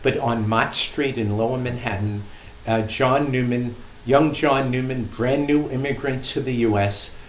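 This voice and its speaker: Guy priest on a radio interview telling a story, measured n emphatic, mid pitch, echoey room w bit of noise. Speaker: priest and shrine director interviewed as guest. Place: indoor room at a shrine. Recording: roomy echo, faint background noise.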